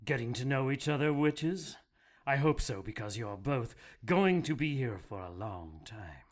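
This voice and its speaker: A man's voice: medium pitch, animated, unhurried. An actor playing a cruel witch-hunting religious brother in an audio drama, pompous, stern and threatening. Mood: smug, menacing. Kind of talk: audio drama villain acting.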